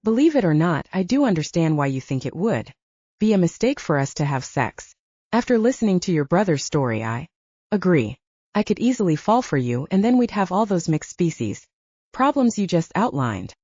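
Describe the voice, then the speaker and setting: Woman acting a frank, teasing heroine, animated. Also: on the low side, normal speed, husky, no echo, lo-fi sound. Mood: candid. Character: candid, teasing young woman, romance heroine.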